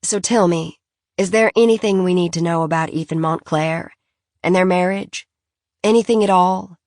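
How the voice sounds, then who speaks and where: A woman's voice: on the low side, moderate pace, a little mellow, dry recording, studio quality, lightly acted. A woman reading an audiobook, voicing a polite female investigator who asks probing questions.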